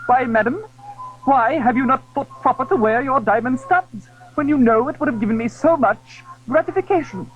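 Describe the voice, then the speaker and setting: A man's voice: extremely high pitch, moderate pace, faint music behind, voice pushed up. A man voicing a displeased, haughty king in a period audio drama, pompous and reproachful. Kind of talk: period radio drama acting. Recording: dull, hissy old audio drama recording.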